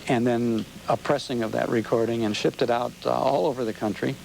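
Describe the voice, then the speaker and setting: An American man, middle-aged or older, explaining things as an unhurried storyteller while being interviewed on television. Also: mid-range voice, fairly even intonation, creaky, a little mellow. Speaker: interview guest, old-time radio show host and collector. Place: TV studio interview. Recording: noisy 1990s local TV broadcast.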